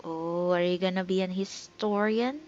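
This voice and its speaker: A woman's voice: lowish voice, moderate pace, a little mellow, slight background noise. A woman giving casual, sentimental reactions as she plays through a video game, recorded on a lo-fi microphone. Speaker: game streamer. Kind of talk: casual playthrough commentary. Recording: dull lo-fi microphone.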